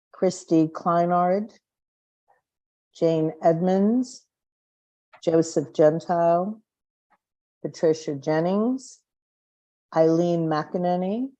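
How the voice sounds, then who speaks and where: A woman serving as vice chair, formally opening and running a public commission meeting in a measured, slightly halting way. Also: lowish voice, warm tone, low-quality recording.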